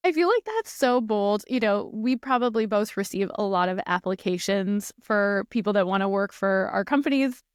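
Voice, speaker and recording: Upbeat woman podcast host, smooth voice. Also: medium pitch, expressive, normal speed, few pauses, no echo, clean studio sound. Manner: upbeat, enthusiastic questioning. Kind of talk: podcast intro and interview questions.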